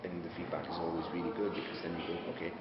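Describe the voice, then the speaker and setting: A man's voice: sits low, completely flat delivery, racing, over loud music. A man giving a casual interview as an actor, speaking in a hesitant, thoughtful way and rephrasing as he goes. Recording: dull, very noisy, echoey room recording.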